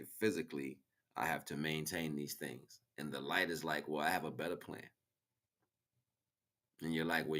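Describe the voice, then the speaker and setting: A man's voice: very deep voice, fairly bright tone. A man giving an impromptu spiritual monologue as a teacher, speaking directly to the listener and repeating phrases for emphasis.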